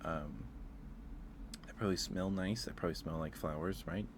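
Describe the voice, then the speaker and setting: A man chatting casually as an ASMR live streamer, in a halting, informal way. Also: low-pitched, noisy background. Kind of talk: casual ASMR livestream chat.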